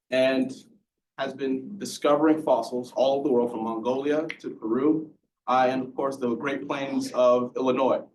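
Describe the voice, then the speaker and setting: Man, seminar host, relaxed introduction, distant mic. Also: medium pitch, a little hiss or hum. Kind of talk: formal introduction. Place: lecture room.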